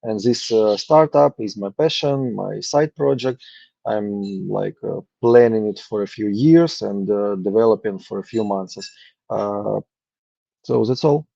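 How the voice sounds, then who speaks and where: A man from Ukraine pitching his startup on a video call, halting and full of fillers. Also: vocal fry, mellow, no echo. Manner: halting, many uh fillers and restarts. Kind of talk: hesitant online pitch. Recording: dull lo-fi call audio.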